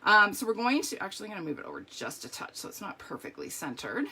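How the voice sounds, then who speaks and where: A middle-aged woman with a strained, hoarse voice, chatting through a live card-making tutorial with lots of ums and okays. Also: medium pitch, very animated, fast talker, sharp tone.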